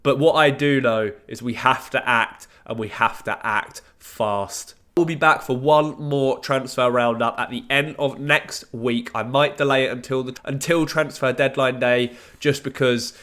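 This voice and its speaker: Young male vlogger, nasal with a head cold, casual and earnest, average pitch. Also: steady tone, measured pace, hardly any pauses, fairly bright tone. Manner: earnest, pleading fan talk. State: blocked nose from a cold. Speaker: football fan YouTuber. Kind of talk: casual transfer news round-up.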